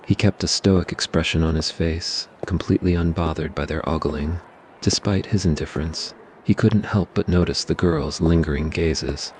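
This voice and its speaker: A man narrating a scripted ASMR roleplay story in a measured, even way. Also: very deep voice, slight background noise.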